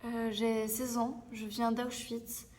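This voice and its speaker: A female performer playing a gentle, reassuring sixteen-year-old survivor in a French audio drama. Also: fairly high pitch, level tone, takes it slowly. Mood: hesitant, gentle.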